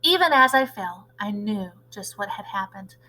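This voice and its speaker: A woman's voice: medium pitch, expressive, normal speed, pushed and bright, rough recording. A woman teacher narrating a children's storybook aloud, expressively and with dramatic emphasis.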